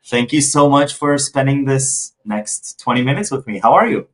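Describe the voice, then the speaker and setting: A man hosting a conversational interview, halting as he forms questions and often repeating words. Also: mid-range voice, a bit bright. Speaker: podcast host and interviewer.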